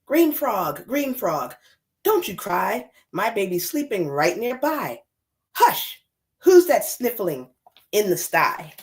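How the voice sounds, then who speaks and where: A woman voicing an anxious storybook mother, pleading in a sing-song hush to protect her sleeping baby. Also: medium pitch, big pitch swings, slow.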